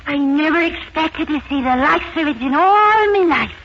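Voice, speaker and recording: An actress playing an eager, nervous young Irish housemaid in a 1940s radio drama, with an Irish accent. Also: female voice, sits high, animated, moderate pace, a bit bright, a little hiss or hum. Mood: flustered, awed. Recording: muffled 1940s radio recording, music behind.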